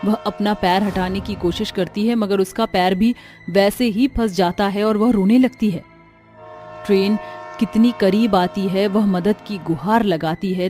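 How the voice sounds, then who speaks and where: A woman narrating a cartoon horror story in an even, dramatic storyteller's manner. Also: mid-range voice.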